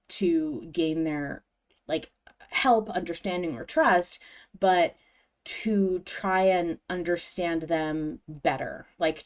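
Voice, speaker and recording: A woman's voice: lowish voice, darkish tone. A woman hosting a podcast, chatting casually as a fan in an informal discussion. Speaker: podcast host.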